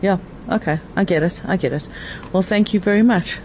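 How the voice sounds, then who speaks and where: Man, interview host, fairly high voice, conversational questioning, slight background noise. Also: fairly quick, darkish tone, rough recording.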